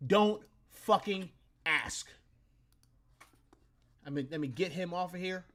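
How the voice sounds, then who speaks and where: A man's voice: high voice, animated, takes it slowly, a bit bright. A man in his late thirties hosting a live sports talk stream, ranting with plenty of profanity and boasting. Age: 30s. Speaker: sports talk show host. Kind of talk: casual live-stream chat.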